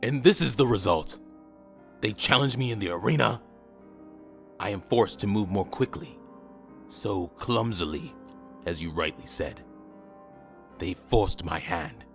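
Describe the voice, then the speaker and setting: A man's voice: lowish voice, measured pace, creaky, pauses often, fairly bright tone, faint music behind. A man playing a domineering, impatient villain in an audio drama, with a curt, commanding way of speaking. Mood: bitter, aggrieved. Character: domineering, impatient male villain with ruthless ambition.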